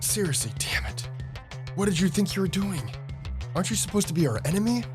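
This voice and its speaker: A man's voice: high voice, big pitch swings, brisk, pitched above the performer's own voice. A man voicing a feisty, desperate teenage manga heroine in an urgent, pleading, sweary manner. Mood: angry, urgent. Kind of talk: manga read-aloud. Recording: music under the voice.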